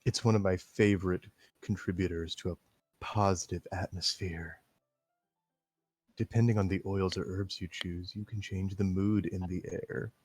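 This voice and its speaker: A man's voice: low-pitched, fairly even intonation, normal speed, a little mellow, breathy edge, faint music behind. Yuri, a man reading a shy refined girl. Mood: hushed. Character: shy, refined teenage girl, quietly intense. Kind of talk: comedic staged reading of a visual novel.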